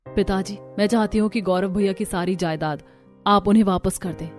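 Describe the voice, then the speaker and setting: A woman's voice: medium pitch, fairly even intonation, normal speed, darkish tone, faint music behind, close to an everyday voice. A woman voicing an assertive, accusing, determined daughter in a cartoon story. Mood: firm, accusing. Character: firm, determined daughter confronting parents. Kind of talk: Hindi cartoon story acting.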